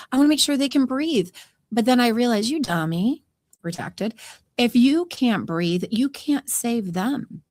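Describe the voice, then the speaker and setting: A woman's voice: mid-range voice, darkish tone, no room sound. A woman hosting a podcast interview, chatty and upbeat with a soothing voice and easy laughter. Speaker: podcast host.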